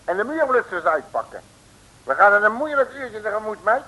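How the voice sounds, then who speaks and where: An actor playing a brash, bossy, street-smart detective in a 1950s Dutch radio serial, full of slangy bluster. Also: male voice, high-pitched, big pitch swings, a little fast, sharp tone, heavily acted, poor audio. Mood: urgent, commanding. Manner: slangy, blustering, addresses people as "broer". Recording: hissy old radio recording.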